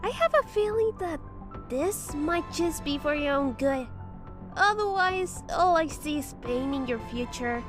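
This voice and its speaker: A very high, soft-spoken cartoon girl with an eerie air, voiced by a woman. Music plays clearly behind her. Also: lively intonation, a little slow. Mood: foreboding.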